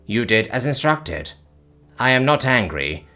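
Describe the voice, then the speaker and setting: A stiff, low-voiced alien warrior, put on by a male narrator, unshaken and coldly formal. He talks in a flat, deadpan manner over faint music. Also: moderate pace. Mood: calm, detached. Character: calm armored male alien, blunt, unemotional.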